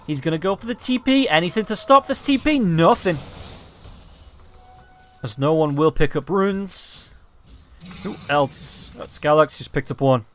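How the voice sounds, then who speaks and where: A slightly hoarse man calling a match in quick, excited play-by-play commentary. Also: on the high side, faint music behind. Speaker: esports caster.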